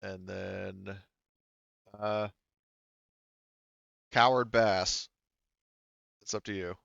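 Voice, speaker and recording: A man's voice: sits low, fairly even intonation, drawn-out words, gravelly, fairly bright tone, dry recording, dull audio. A man acting as game master, casually explaining rules and narrating a tabletop game step by step.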